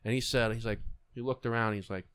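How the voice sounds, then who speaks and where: A man's voice: mid-range voice, fairly even intonation, fast, fairly bright tone, clean tone. A man hosting a podcast, telling rambling stories in casual chat. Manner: rambling storyteller, laughs often. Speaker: podcast host. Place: podcast studio. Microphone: studio microphone.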